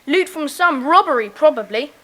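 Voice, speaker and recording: A woman playing a confident, bossy schoolboy leader, brisk and decisive, in a children's audiobook drama. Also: high-pitched, animated, fairly bright tone.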